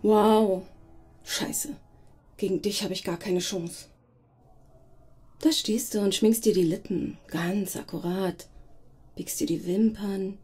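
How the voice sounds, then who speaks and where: Schauspielerin als langsame, rauchige, scharf klingende Frau. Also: female voice, medium pitch, warm tone, slightly rough. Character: insecure, self-critical woman hungry for thrills. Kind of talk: German radio drama acting, monologue.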